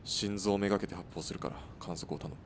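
A man playing a gruff, resolute sniper in a radio drama, speaking tersely and grimly. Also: fast talker. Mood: focused. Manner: terse, grim. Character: resolute, gruff male sniper in Taisho-era Japan. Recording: faint rumbling background noise.

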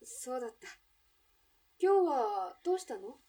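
A woman playing a guarded, lovelorn café barmaid in a radio drama, speaking in soft, understated, feminine phrases. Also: high voice, big pitch swings, measured pace, exaggerated voice. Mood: polite, guarded. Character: guarded café barmaid still longing for lost love. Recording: distant from the mic.

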